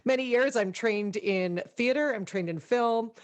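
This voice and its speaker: A young adult woman from the Lower Mainland with a low voice, chatting as a podcast guest who laughs often and says "like" a lot. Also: a little slow. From British Columbia, Lower Mainland. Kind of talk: casual interview chat.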